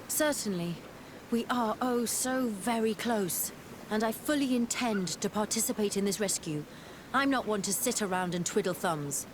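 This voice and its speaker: An actress playing a determined, wary scientist-explorer in a fantasy game, earnest and articulate. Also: female voice, mid-range voice, expressive, moderate pace, background noise, close to an everyday voice. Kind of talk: scripted game cutscene acting.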